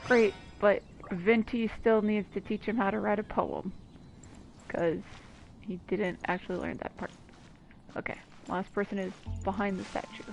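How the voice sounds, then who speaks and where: A woman's voice: medium pitch, fairly even intonation, normal speed, creaky, soft background music, low-quality recording. A woman giving casual, playful, teasing commentary over a game playthrough. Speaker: gaming video commentator.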